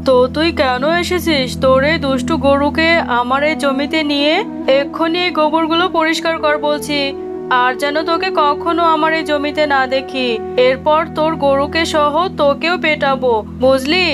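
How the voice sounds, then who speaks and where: Cartoon tigress, landlord's wife played by a woman: cruel, high voice, harsh scolding, music behind. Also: steady tone, moderate pace, close to an everyday voice. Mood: furious scolding. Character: cruel, scolding tigress, landlord's wife, bad-tempered middle-aged aunt.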